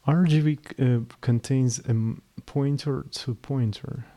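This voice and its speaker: A young man with a Slavic accent talks through code on a live stream, his voice mellow and darkish. He is worn out, halting and trailing off mid-sentence as he thinks aloud. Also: medium pitch, fairly even intonation. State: mentally tired. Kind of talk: casual live coding stream.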